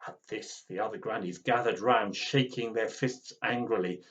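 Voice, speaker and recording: A man reading a children's storybook aloud in an expressive, dramatic way. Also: on the low side, moderate pace, lo-fi sound. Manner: animated, dramatic.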